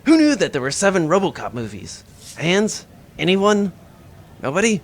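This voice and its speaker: A polite, deadpan male starship crewman, acted out by a performer speaks at a medium pitch with wide intonation. The style is comedic space radio drama. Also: normal speed, a little hiss or hum. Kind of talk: comedic audio drama dialogue.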